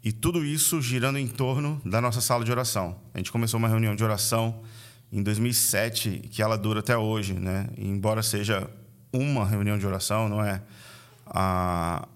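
Low-voiced capixaba man telling his story. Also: fairly even intonation, a little fast. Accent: Brazilian Portuguese, Espírito Santo (capixaba). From Vitória, Espírito Santo, Brazil. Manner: earnest, reflective storytelling. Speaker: guest, missionary and church leader. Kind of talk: conversational podcast interview.

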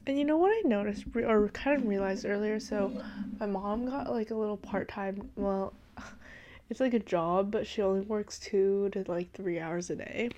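A woman's voice: mid-range voice, moderate pace, warm tone, a little hiss or hum. Young woman podcasting from her room, a bit stuffy-nosed, rambles and giggles, says like every other word. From Washington state, US. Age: young adult. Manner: rambling, full of "like" and "literally", with giggles. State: congested from allergies. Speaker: podcast host. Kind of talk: casual chat. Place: her dark bedroom at night.

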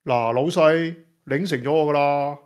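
Casting: male actor as earnest Hong Kong Cantonese office worker, slightly high voice, radio drama, persuasive pleading. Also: normal speed, darkish tone, clean tone, lightly acted. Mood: earnest, persuading. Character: earnest office employee, Stephen, pleading for more staff. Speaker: employee pressing his boss.

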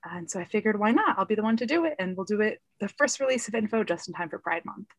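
A woman's voice: medium pitch, animated, fast, no room sound. An American woman, a podcast guest, chatting over a video call in an upbeat way. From United States. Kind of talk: casual podcast chat. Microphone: recorded over video call.

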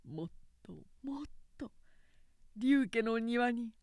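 A woman's voice: fairly high pitch, lively intonation, slow pace, a little mellow, no echo, studio quality, voice pushed up. Woman voicing a reverent, earnest noble warlord son. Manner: formal, respectful, earnest declamation.

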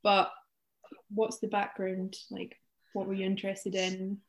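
A woman's voice: medium pitch, completely flat delivery, a little slow, rough recording. A woman hosting a casual podcast chat, peppering her questions with lots of "like". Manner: filler-heavy, lots of "like". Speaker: podcast host.

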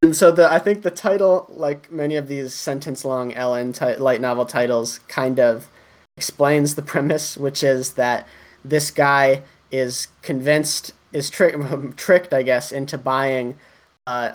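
A man's voice: medium pitch, a little slow. A man co-hosting a casual anime podcast, rambling through his points with lots of fillers, restarts and "like". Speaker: podcast co-host.

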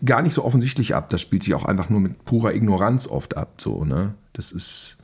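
A man chatting casually about film as a podcast guest, retelling things in an animated way. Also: on the low side, a little fast, gravelly, breathy edge.

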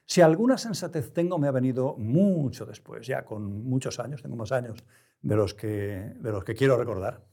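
A middle-aged man who is a writer, talking reflectively in an interview, choosing his words with pauses and restarts. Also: mid-range voice, animated, creaky, mellow. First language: Spanish. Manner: measured, searching for words, frequent restarts. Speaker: novelist, interview guest.

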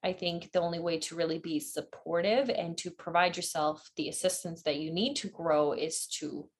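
A woman with a slightly low voice talks as a guest on a podcast. She is thoughtful and open. She laughs easily as she explains her views. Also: steady tone, normal speed, a little hiss or hum. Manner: warm, reflective, laughs often. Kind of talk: casual interview chat.

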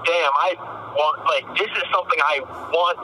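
High pitched guy on a call from a car, blunt slangy interview chat, swears, slight noise behind. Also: moderate pace, pushed and bright, poor audio. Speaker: music artist, interview guest. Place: in a car while driving.

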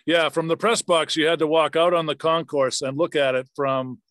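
A man's voice: a little high, little pitch movement, normal speed, a bit bright, no echo. A man hosting a casual, conversational interview, asking questions and reminiscing. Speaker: host.

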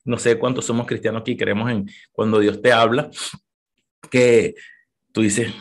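A man's voice: flat delivery, moderate pace. A man in his thirties with a Venezuelan accent, giving an emphatic motivational talk to an audience. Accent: Venezuelan Spanish. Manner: emphatic, rising to high passionate peaks; repeats words, talks straight to the listener. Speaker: motivational speaker.

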